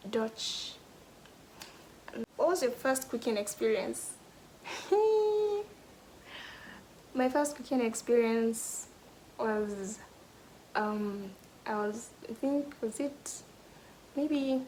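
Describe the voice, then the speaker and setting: A young Nigerian woman answering get-to-know-me questions in a casual vlog, thoughtful, pausing often and sometimes dropping to a whisper. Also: on the high side, a little hiss or hum, poor audio. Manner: thoughtful, pauses with "hmm" and "um", drops to a soft whisper at times. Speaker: vlogger.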